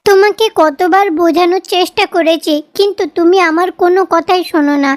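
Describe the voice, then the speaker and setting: A woman's voice: very high-pitched, brisk. A woman voicing a nagging, worried village wife in a cartoon story, scolding and pleading. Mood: hurt scolding.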